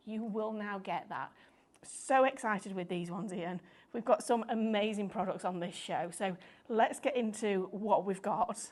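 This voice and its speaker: A woman presenting a live craft shopping show, chatty and upbeat, explaining things step by step, her voice a little croaky. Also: mid-range voice, a little slow, darkish tone. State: slightly croaky voice.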